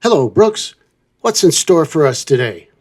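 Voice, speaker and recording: A man's voice: a little high, very animated, unhurried, darkish tone. A man hosting a semi-scripted trucking show, presenting to listeners in a theatrical announcer manner. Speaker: host of a trucking show playing old-time radio.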